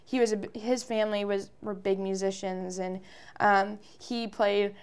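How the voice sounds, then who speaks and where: Clear-voiced young American woman. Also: medium pitch, steady tone, moderate pace. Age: early 20s. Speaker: interview guest, musician. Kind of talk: casual interview answers.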